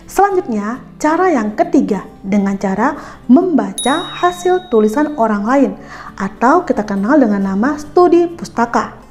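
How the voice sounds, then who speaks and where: The higher-pitched woman you would hear on an online school lesson video, instructing pupils directly over a music bed. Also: darkish tone. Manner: instructive, addresses pupils directly with check-in questions. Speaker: teacher presenting an educational video. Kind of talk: explanatory school lesson narration.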